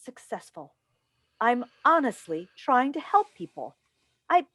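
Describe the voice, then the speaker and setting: A woman's voice: fairly high pitch, very animated, unhurried, fairly bright tone, no room sound, exaggerated voice. An actress playing an exasperated middle-aged talk show host and mother in a staged play reading over a video call. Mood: indignant.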